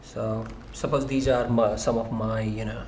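A man giving a loose, conversational screen-share tutorial on SEO software, with frequent fillers and restarts. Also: fairly low pitch, level tone, normal speed, slight background noise.

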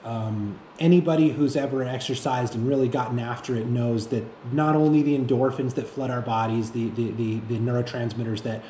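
A man with a soft-edged, mellow voice talks reflectively and without a script. He gropes for words and restarts phrases as he goes. The room adds noticeable echo, with faint noise underneath. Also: mid-range voice, fairly even intonation. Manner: halting, searching for words, repeating himself. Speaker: fitness vlogger. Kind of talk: reflective unscripted monologue to camera. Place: indoor room with bare walls.

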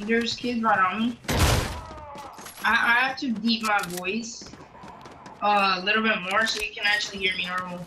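A woman chatting excitedly with her teammate during a game, her voice strained from screaming. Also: mid-range voice, normal speed, pauses often. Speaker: gaming teammate. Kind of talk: casual gaming chat. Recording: distant mic under loud game noise.

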